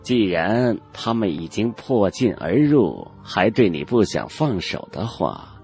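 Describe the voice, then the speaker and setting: A man voicing an old male cultivator elder in a fantasy audiobook, haughty and knowing, with measured, condescending, old-fashioned speech. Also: fairly low pitch, sing-song, a little mellow, faint music behind, full character voice. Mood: scornful. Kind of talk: Chinese fantasy audiobook character acting.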